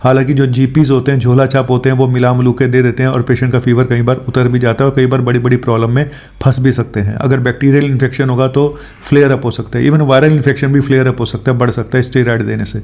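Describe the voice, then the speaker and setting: A man with a medium-pitched voice gives informal medical advice as a doctor hosting a show. He talks conversationally to viewers, mixing in English medical terms and often checking in with short tag questions. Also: flat delivery, quick pace, hardly any pauses, a little mellow. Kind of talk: informal health explainer talk to viewers.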